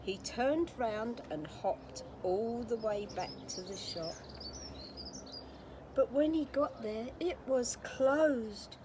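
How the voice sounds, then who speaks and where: Slow, expressive woman reading a storybook. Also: on the high side, background noise. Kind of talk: children's storybook read-aloud.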